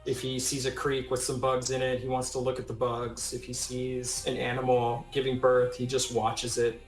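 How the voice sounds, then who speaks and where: A man talks casually as a player in a tabletop role-playing game, working out his character aloud. His pitch stays quite flat, and the voice sounds roomy and echoing over faint music. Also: moderate pace. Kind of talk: casual improvised character discussion. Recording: roomy echo on the voice.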